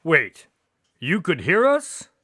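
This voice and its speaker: An actor playing an indignant, excitable detective's partner who tells stories in a radio drama. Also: male voice, on the high side, takes it slowly, dry recording, studio quality, exaggerated voice. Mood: defiant.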